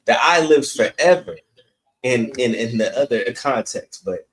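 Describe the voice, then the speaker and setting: A man's voice: medium pitch, animated, unhurried. A man giving casual, opinionated sports commentary as a podcast panelist. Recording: echoey, roomy sound.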